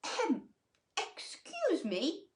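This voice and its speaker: A woman voicing a small, kindly, wise cricket in a storybook, gentle, coaxing and encouraging. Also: extremely high pitch, wildly swooping pitch, very slow, pitched above the performer's own voice, heavily acted. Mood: politely encouraging.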